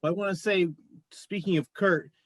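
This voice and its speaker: Male talk show host, fairly high voice, conversational questioning, dry close recording. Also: steady tone, normal speed, no echo. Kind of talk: conversational interviewing.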